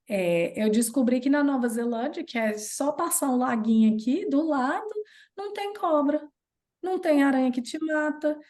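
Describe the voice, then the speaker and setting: A Brazilian woman in her thirties, speaking with a Brazilian Portuguese accent and a voice pitched a little higher than most. She chats as an interview guest, telling her stories with lively, playful leaps in pitch. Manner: chatty and animated, playful jumps in pitch when retelling. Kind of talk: casual storytelling chat.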